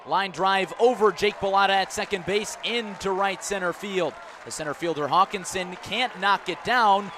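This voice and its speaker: Higher-voiced man calling baseball play-by-play. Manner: clipped, descriptive phrasing that rises with the action. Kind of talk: live radio play-by-play commentary. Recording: noisy outdoor ballpark ambience.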